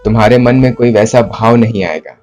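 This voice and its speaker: A man performing a theatrical monologue as a broken, remorseful poet confessing to his beloved in formal, literary language, deliberately and with dramatic pauses. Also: fairly low pitch, a little mellow, close to an everyday voice. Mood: anguished, fearful. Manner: brooding, deliberate, with dramatic pauses and repeated phrases. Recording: flute music under the voice. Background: flute music.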